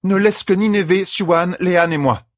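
A man's voice: high voice, normal speed, no echo, studio quality, pitched above the performer's own voice, close to an everyday voice. A man voicing a determined young woman leader in an audiobook, urgent and authoritative. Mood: urgent, commanding.

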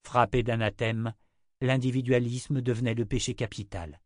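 A man reading a fantasy novel aloud in a measured, deliberate way as its narrator. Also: medium pitch, steady tone, no room sound, clean studio sound. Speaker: audiobook narrator. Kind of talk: scripted narration.

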